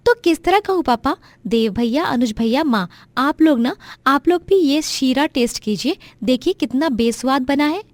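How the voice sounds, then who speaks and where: Woman acting a bratty, high-voiced young woman. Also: expressive, normal speed, few pauses, no room sound. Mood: scornful complaining. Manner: petulant, scornful. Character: bratty, complaining young sister-in-law. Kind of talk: dramatized Hindi family story.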